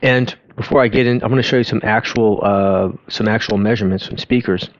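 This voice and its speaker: A man giving an informal explainer in a halting, conversational way, often interrupting himself to rephrase. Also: fairly low pitch, steady tone, dry recording, dull audio. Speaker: host of an audio education channel. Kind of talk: informal explainer talk over on-screen graphs.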